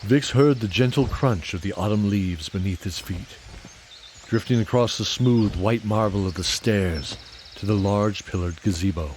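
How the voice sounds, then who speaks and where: A man narrating a scripted fantasy audio drama in a deliberate, atmospheric storytelling style. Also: lowish voice, a little mellow, a little hiss or hum.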